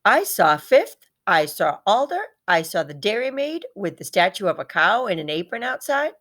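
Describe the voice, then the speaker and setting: A woman's voice: medium pitch, sing-song, normal speed, a bit bright. A woman voicing a small, proud, heartbroken male pet hamster in a dramatic children's storybook read-aloud, repeating words for emphasis. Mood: wistful, eager.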